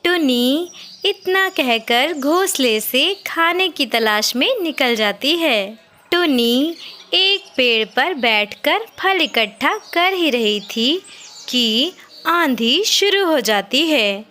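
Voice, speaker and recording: A woman's voice: high-pitched, expressive, slow, pushed and bright, faint music behind. A woman reading scripted narration for a children's cartoon story.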